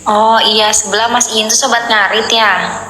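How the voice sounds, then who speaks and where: A friendly woman in her twenties chats with her viewers on a live stream. Her bright voice carries a long, roomy echo with a little background noise. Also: a little high, steady tone, unhurried. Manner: friendly, addresses viewers as guys. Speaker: livestream host. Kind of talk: casual livestream chat. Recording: echoey roomy stream audio.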